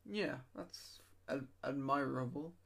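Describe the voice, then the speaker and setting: A woman with an extremely low, gravelly voice hosting a laid-back interview. She keeps her replies short and laughs easily. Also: slow pace, fairly bright tone. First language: English. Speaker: interviewer host. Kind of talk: casual interview chat.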